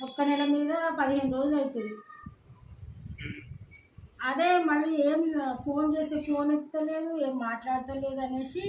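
A woman's voice: high voice, fairly even intonation, normal speed, long pauses, a little mellow. A woman with a Telangana Telugu accent talking informally as a caller in a phone conversation, insistent, repetitive and argumentative. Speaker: prank caller. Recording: dull, echoey room with background noise.

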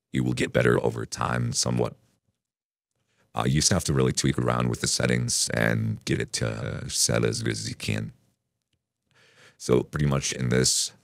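A man's voice: very deep voice, vocal fry, no room sound, clean studio sound, voice pushed down. A man speaking through an AI voice changer as a slightly robotic male internet personality, casually demonstrating it. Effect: real-time AI voice changer, slightly robotic.

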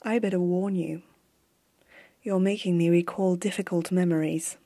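A woman playing a stern, haunted warrior empress in a hushed, deliberate fantasy ASMR roleplay. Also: fairly low pitch, fairly even intonation. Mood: grave, bitter. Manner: slow, hushed, deliberate dramatic delivery.

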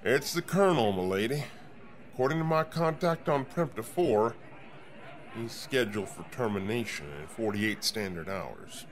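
A man's voice: medium pitch, lively intonation, normal speed, close to an everyday voice. A man playing a dutiful, respectful starship captain with a grim, dryly wry manner in a science fiction audio drama.

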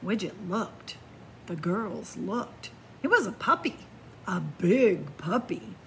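A woman reading a picture book aloud to children in a library, with slow, expressive storytelling, hushed whispers and excited rises. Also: medium pitch, slight background noise. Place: library in front of bookshelves. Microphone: camera facing the book.

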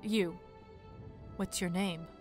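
A woman playing a large, composed female dragon leader in an audio drama, dry and measured. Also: fairly low pitch, steady tone, darkish tone, soft background music. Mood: dry, amused.

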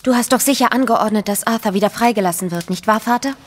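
A woman's voice: fairly high pitch, fast talker, in a large hall, slight background noise, close to an everyday voice. An actress playing a sorrowful, strong-willed young woman, earnest and insistent, in an audio drama. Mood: pleading. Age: young adult.

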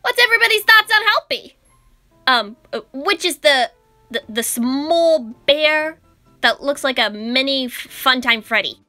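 Female actor as friendly, laid-back animatronic bear; slow, bright delivery over faint music, comic dub. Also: high voice, animated, no room sound, heavily acted. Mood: playful.

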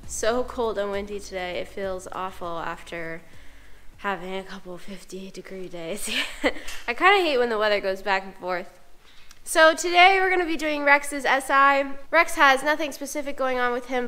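A woman's voice: mid-range voice, bright, forceful tone, smooth. A young woman vlogging casually to a handheld camera, a little congested from a cold, chatty and upbeat with frequent ums, first in a car and then in a horse barn. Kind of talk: casual vlog chat to camera. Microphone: camera held at arm's length.

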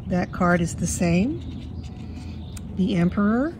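A woman with a slightly hoarse voice from a cold, chatting fondly through a walkthrough of a card deck at her table. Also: fairly low pitch, animated, takes it slowly, noisy background. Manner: fond, chatty, admiring. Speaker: tarot deck reviewer. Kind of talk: casual walkthrough. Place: indoors at a table.

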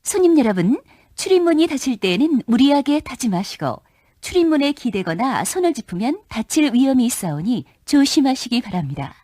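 A woman announcer reading scripted subway station announcements in a formal, clearly enunciated manner. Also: high-pitched, very animated, fairly quick, dark tone. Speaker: train announcer.

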